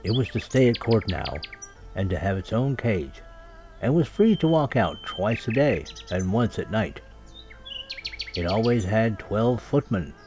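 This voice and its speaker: A man's voice: fairly low pitch, darkish tone. A man narrating a scripted children's story as a storyteller. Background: music and birdsong sound effects.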